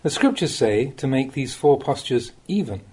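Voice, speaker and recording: Mellow, husky man reading spiritual teachings expressively. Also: mid-range voice, lively intonation, moderate pace. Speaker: monk reading Buddhist teachings. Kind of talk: read-aloud Dhamma talk, audiobook narration.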